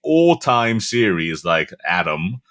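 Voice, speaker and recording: A middle-aged man from Korea, a voice actor guest chatting casually about his path and tastes, animated and often chuckling. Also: sits low, takes it slowly, no echo, clean studio sound. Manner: animated, chuckling, self-correcting. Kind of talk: casual interview chat.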